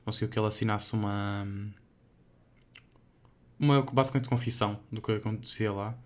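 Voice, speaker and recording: A man's voice: on the low side, fairly even intonation, normal speed. A young man with a European Portuguese accent chatting casually as a podcast co-host, rambling and hesitant, with many fillers.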